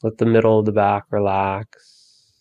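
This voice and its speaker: A man leading a guided meditation for focus, giving soft, calm body-scan instructions with long pauses. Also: fairly low pitch, smooth, no echo, phone-quality sound.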